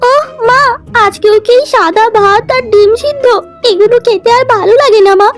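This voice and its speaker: A woman's voice: very high-pitched, moderate pace. A woman voicing a stubborn, affectionate young village girl in a children's cartoon, earnest and a little whiny. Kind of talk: children's fairy-tale cartoon acting. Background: music.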